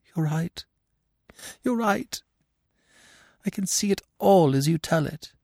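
A man's voice: fairly high pitch, lively intonation, slow pace, creaky, darkish tone, no room sound, clean studio sound, voice pushed up, full character voice. A man voicing a passionate, distraught young woman with a pleading manner in an audiobook. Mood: choked. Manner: anguished, pleading. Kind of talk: audiobook character dialogue.

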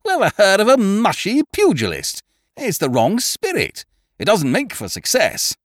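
A man voicing a blustering male schemer who booms and rants in exasperation. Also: wildly swooping pitch, moderate pace, dry recording, clean studio sound. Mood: exasperated. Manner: booming, exasperated ranting. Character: blustering loud male schemer, booming and indignant.

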